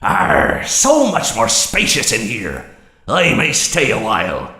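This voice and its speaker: Forceful gravelly pirate fox, stage pirate accent, swooping pitch, swaggering, echoey. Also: male voice, huge pitch swings, slow, exaggerated voice. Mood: gloating. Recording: echoey room.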